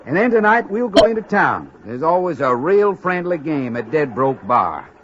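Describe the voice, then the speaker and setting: An actor playing a friendly American gold prospector in a 1950s radio western, with a folksy, rustic frontier drawl. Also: male voice, on the high side, big pitch swings, normal speed. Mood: friendly, eager. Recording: dull, noisy old radio recording.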